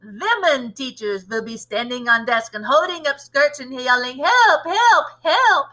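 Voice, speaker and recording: A woman's voice: on the high side, expressive, moderate pace, low-quality recording. A woman acting out a disgusting old witch leader from a children's storybook, gleefully cruel, with theatrical, chanting delivery and broken grammar. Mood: gloating, shouting. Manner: ungrammatical, chanting, theatrical shouting.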